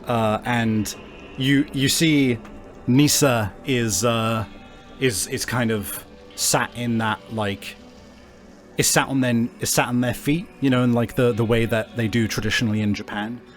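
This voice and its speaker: A man narrating a tabletop game as game master, improvising haltingly and searching for his words. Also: mid-range voice, fairly even intonation, soft background music.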